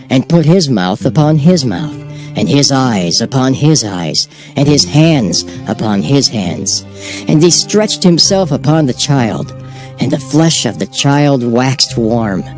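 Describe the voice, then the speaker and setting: A man narrating scripture in a measured, solemn dramatized reading. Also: mid-range voice, darkish tone. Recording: music bed behind voice. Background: music.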